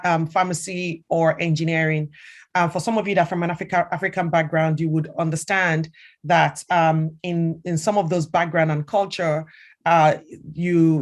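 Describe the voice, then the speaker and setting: A middle-aged woman from Nigeria with a Nigerian accent, telling students about her career in a chatty way, with many fillers and restarts. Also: low-pitched. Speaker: senior strategic advisor.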